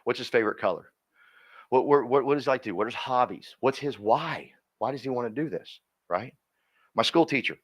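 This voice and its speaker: Guy coaching a workshop crowd, super animated voice, folksy, keeps asking the room questions. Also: medium pitch, a little fast. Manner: energetic, quizzing the audience, folksy. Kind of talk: interactive seminar teaching. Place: workshop room with live audience.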